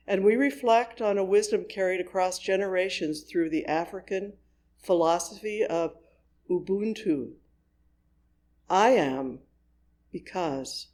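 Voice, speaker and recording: A worship leader reading a scripted service in a measured, deliberate way with pauses between phrases. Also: mid-range voice, a little mellow.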